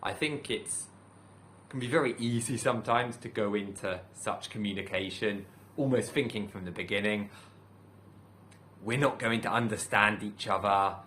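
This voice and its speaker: A man speaks in a low voice that still sounds bright and clear. He is a teacher giving a calm, reflective talk, pacing his thoughts with measured pauses. A faint hiss sits under the recording. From United Kingdom. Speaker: teacher and channel host. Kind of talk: reflective solo talk to camera.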